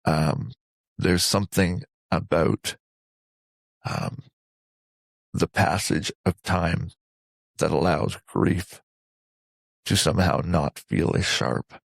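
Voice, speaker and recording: A man hosting a reflective interview, speaking earnestly and deliberately in short phrases with pauses between them. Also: deep voice, vocal fry, husky, no echo. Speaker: interview host.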